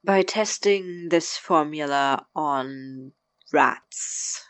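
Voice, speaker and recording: A stern, incredulous young man voiced by an actor in an audio drama. He is blunt and sarcastic, pressing for answers in slow, weighted phrases on a very dry recording. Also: mid-range voice, a bit bright, no echo. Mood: disbelieving. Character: stern, incredulous young man confronting his experimenting housemate. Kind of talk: naturalistic audio drama acting.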